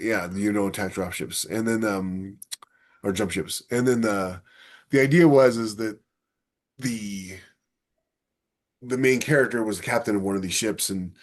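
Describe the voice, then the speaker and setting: A man co-hosting a podcast, talking casually and thinking aloud as he discusses science fiction ideas. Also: sits low. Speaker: podcast co-host.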